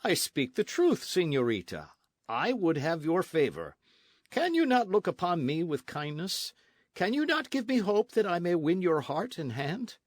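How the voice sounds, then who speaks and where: A man's voice: high voice, very animated, measured pace, no echo, clean studio sound, pitched above the performer's own voice, exaggerated voice. A man voicing an arrogant Spanish colonial army captain in a storybook, pompous, sneering and insistent. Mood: ardent, pressing. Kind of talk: storybook narration with character voices.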